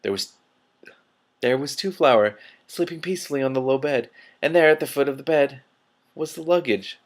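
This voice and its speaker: A man reading a story aloud in an animated, expressive way, correcting himself and adding his own commentary. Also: mid-range voice, moderate pace. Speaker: reader and commentator.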